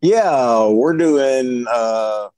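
A man chatting casually in a halting, hesitant way as he shares group news. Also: medium pitch, mellow, no room sound. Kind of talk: casual chat.

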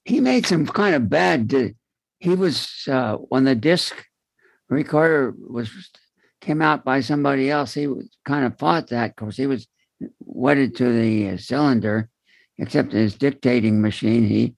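Gravelly man, halting in conversation. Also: mid-range voice, no echo. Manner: halting, repeats words while searching. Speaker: book club participant. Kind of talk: casual group discussion.

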